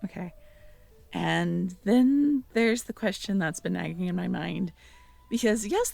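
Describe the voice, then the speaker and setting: A woman's voice: mid-range voice, gravelly, no echo. A woman in an intimate audio roleplay, musing aloud as she reads through notes and checks back with the listener.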